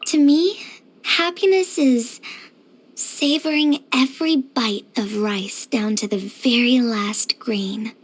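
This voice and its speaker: Young mountain craftswoman, video game character, very high voice, unhurried pace, chirpy, gentle, easygoing. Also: rough recording. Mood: thoughtful, sincere.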